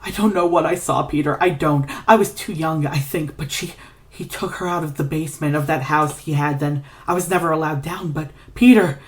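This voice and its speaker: A woman voicing a frightened young man who pleads and recounts a horror in halting, repetitive bursts, in a dramatic story reading. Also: low-pitched, close to an everyday voice. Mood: shaken, halting. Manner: halting, repetitive, breaking off mid-sentence. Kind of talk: dramatic fan fiction reading.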